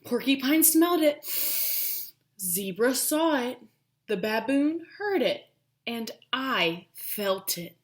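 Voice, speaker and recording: A woman's voice: on the high side, sing-song, slow. Woman as an emphatic storybook rhino. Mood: excited. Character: emphatic rhino feeling the rain and mud.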